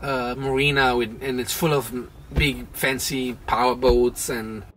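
A man's voice: mid-range voice, level tone, a little slow, a bit bright, slight background noise, low-quality recording. A man in his thirties telling a sailing trip story in a chatty, casual way from a small boat cabin. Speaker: vlog host, sailor. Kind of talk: casual travel narration.

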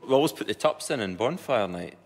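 A man with a booming voice and a Perthshire Scottish accent, a comedian telling hesitant, self-mocking stories on a comedy panel. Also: animated, fast. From Perthshire, Scotland. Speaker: comedian and farmer, regular panellist. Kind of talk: comic panel chat.

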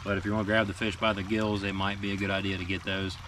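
A man in his thirties with a fairly low voice talks easily from a small boat outdoors, chatting through gear tips with lots of ums and small asides. The camera mic sits a few feet off, so he sounds a little distant, with clear outdoor noise around him. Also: fast. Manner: relaxed, plain-spoken, many ums and asides. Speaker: fishing guide and show team member. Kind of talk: casual instructional talk to camera. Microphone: camera mic from a few feet away.

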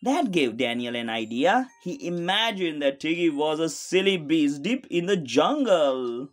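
Female children's read-aloud narrator, slow, very animated, engaging the child directly. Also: deep voice. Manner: expressive, asks the listening child questions. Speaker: storybook reader for children.

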